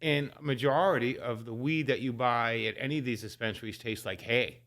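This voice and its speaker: Blunt, joking podcast co-host. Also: male voice, mid-range voice, a little fast, a bit bright. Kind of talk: casual chat.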